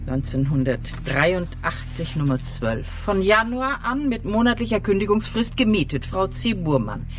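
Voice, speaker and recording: An actress playing a proud, prim village post office clerk in a radio crime drama, officious and pedantic. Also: female voice, medium pitch, sing-song, unhurried, a little hiss or hum. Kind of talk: naturalistic German radio crime drama acting.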